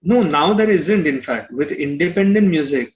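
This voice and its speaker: Male interview guest, slightly high voice, frank conversational opinions with frequent repetition. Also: lively intonation, moderate pace. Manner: opinionated, blunt, repeats himself.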